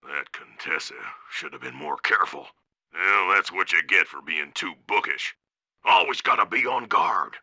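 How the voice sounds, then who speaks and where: A man playing a burly, boastful bison lumber baron villain in a video game, with a folksy, menacing rural American frontier drawl and a gravelly voice. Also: low voice, animated, moderate pace, pushed and bright, exaggerated voice. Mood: grumbling, boastful.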